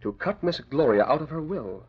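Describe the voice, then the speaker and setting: Deferential, husky houseman. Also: fairly high pitch, expressive, normal speed. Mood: tattling. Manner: polite, hesitant, formal. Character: deferential male house servant, hesitant but gossiping. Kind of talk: old-time radio drama acting. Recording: dull, lo-fi old radio recording.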